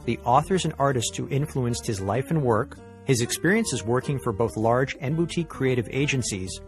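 A man hosting a media podcast, reading a scripted introduction and asking interview questions in a measured, clear voice. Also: mid-range voice, lively intonation, soft background music. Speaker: podcast host.

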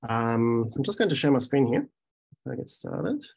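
A man's voice: medium pitch, very animated, normal speed, gravelly, darkish tone, husky. German-accented man presenting over a call. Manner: hesitant, many ums and restarts. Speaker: research scientist. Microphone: video call.

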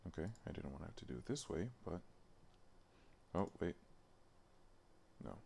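A man doing casual live stream commentary, thinking aloud in a halting, muttering way while working at a computer. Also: rumbling low, very animated, gravelly, a little mellow, a little hiss or hum. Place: indoors at a computer.

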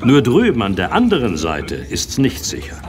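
An actor playing a hospitable, authoritative village dike master, speaking with deliberate weight in an audio drama. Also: male voice, medium pitch, wildly swooping pitch. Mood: hospitable, composed. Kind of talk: German audio drama dialogue.

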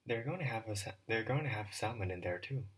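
A man reading an audiobook, voicing a tactless schoolboy who blurts out a remark loudly. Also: fairly low pitch, lively intonation, fast talker, breathy edge. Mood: blurting. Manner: loud, blurting.

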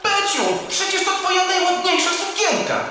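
A man playing a boastful, fussy, excitable little furry animal in a children's audio play. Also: extremely high pitch, moderate pace, heavy reverb, in a large hall, a little hiss or hum. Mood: alarmed. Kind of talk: children's audio drama acting.

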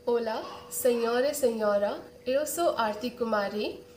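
Young woman, unhurried verse reading, echoey room with slight noise. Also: a little high, darkish tone. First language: not Portuguese. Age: young adult. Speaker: poetry reader. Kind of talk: epic verse read aloud. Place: small room indoors.

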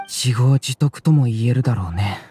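An actor playing an anxious, self-blaming young student in an anime game, with a husky, breathy voice. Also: male voice, mid-range voice, normal speed. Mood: resigned, contrite. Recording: soft music behind.

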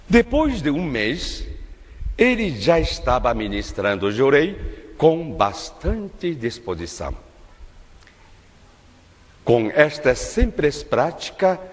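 An older man preaching a sermon at a lectern in a large worship hall, in a slow, measured, emphatic delivery. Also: medium pitch. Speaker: minister giving a testimony-based sermon. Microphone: lectern microphone. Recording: long reverb of a large hall.

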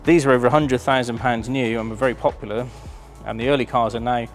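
A man's voice: mid-range voice, fairly even intonation, quick pace, soft background music. A man, chatty and enthusiastic, presenting a car auction preview in a large warehouse showroom. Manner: enthusiastic, chatty, filled with ums.

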